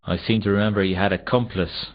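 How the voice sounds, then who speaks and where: Male actor as petty, shifty city guard, deep, hesitant, evasive, slow-witted, comic video game, muffled lo-fi sound. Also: steady tone, lightly acted. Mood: dim, curious. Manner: hesitant, evasive, whiny excuse-making. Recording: dull, muffled old console game audio.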